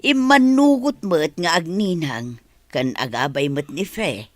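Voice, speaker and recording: A woman playing a conciliatory middle-aged village mother in a radio drama, husky, with an Ilocano accent. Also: fairly low pitch, expressive, measured pace, dry recording, soft background music. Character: conciliatory village mother of a bride-to-be, slow-talking.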